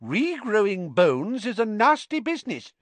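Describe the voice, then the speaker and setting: A stern middle-aged school nurse, as a man puts her on scolds briskly in a raised, high voice. It is a storybook reading, recorded dry and close. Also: huge pitch swings, no room sound, exaggerated voice. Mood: scolding.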